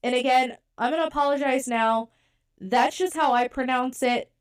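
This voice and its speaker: A woman's voice: high-pitched, moderate pace, smooth. A woman co-hosting a podcast, born in Europe and raised in the United States, talking in a chatty, candid, self-deprecating way. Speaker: podcast co-host. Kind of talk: casual podcast talk.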